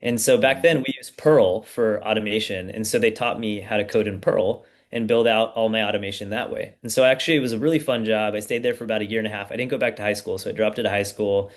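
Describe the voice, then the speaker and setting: A man in his thirties from the United States, a startup founder guest telling his story in a relaxed, casual way with plenty of fillers. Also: on the low side, fast, hardly any pauses. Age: 30s. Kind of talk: casual podcast interview.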